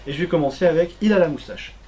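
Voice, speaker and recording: A man's voice: a little high, racing, heavy background noise. A man hosting a radio show, chatting casually and joking as he presents.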